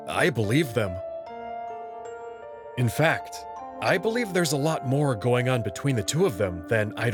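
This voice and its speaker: A man giving a measured, scripted first-person narration as he reads a visual novel. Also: medium pitch. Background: prominent music.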